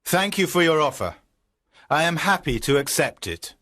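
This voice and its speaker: A man's voice: on the high side, big pitch swings, normal speed, pushed and bright, voice pushed up, exaggerated voice. A man voicing a tired crusader knight back from war, formal and courteous in a storybook reading.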